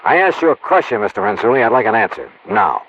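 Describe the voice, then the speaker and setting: A hard-boiled American investigator in a 1950s radio drama. He is brisk and skeptical, probing with lively swings in a mid-range voice. The recording is muffled, with faint noise. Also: on the low side. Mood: stern, pressing. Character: tough, brisk, skeptical male insurance investigator. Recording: muffled, noisy old-time radio recording.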